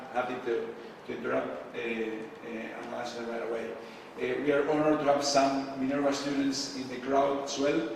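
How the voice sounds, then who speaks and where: A man from Argentina giving a casual talk to a live audience, with many filler pauses and questions put to the crowd. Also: medium pitch, gravelly, background noise. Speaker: conference speaker. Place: event space with a live audience. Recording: echoey hall, distant mic.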